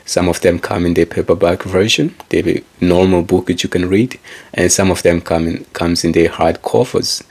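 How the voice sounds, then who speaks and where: A man speaking in English, his first language being Kikongo, delivers a meandering motivational talk. His voice is very low and full of vocal fry. It has a husky, rough grain. He keeps circling back to the same phrases. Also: darkish tone. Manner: calls out to listeners like a presenter. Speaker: author and speaker. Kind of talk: motivational monologue.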